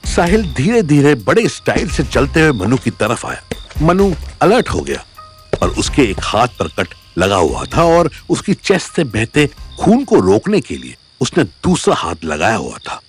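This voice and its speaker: Casting: male narrator for suspense audio fiction, mid-pitched, highly animated intonation, music and crickets underneath. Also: unhurried. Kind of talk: dramatic scripted story narration.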